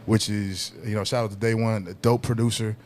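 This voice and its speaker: Man, deeper voice, laid-back storyteller, casual podcast chat, faint background noise. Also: level tone, a little fast, a little mellow. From Southern California. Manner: laid-back, peppered with "you know what I mean", laughs as he tells it. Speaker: guest hip hop artist.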